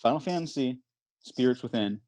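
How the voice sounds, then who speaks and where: A man hosting a podcast in casual chat, animated and playfully dramatic, often interrupting himself as he moderates a debate. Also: mid-range voice, brisk, no room sound. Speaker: podcast host.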